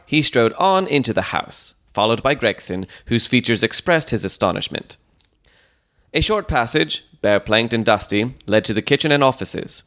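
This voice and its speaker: A man narrates a Victorian mystery audiobook in a fairly low voice. His delivery is scripted and dramatic, with measured, descriptive storytelling. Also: a bit bright.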